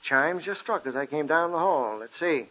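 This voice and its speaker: An actor playing a calm, authoritative doctor in 1940s radio acting style, steady and reasoning. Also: male voice, a little high, normal speed, husky. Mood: firm. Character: calm, commanding male doctor friend. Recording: muffled old radio recording.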